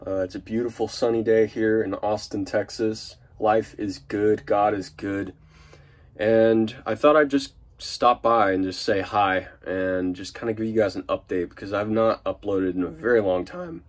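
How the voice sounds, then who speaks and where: A man's voice: fairly low pitch, fairly even intonation, normal speed. A man with a nasal voice from a cold, rambling casually through a vlog update from inside an unfinished van. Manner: rambling and chatty, lots of fillers and restarts. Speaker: vlogger.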